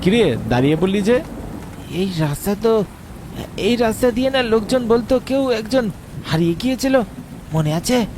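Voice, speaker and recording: Playful village friend, slightly high voice, nostalgic horror-drama chatter, clear rain and thunder behind. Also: male voice, expressive, moderate pace, long pauses, noisy background. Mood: eerie, intrigued. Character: playful, nostalgic city-returned village friend teasing with ghost tales. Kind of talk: Bengali horror audio drama acting.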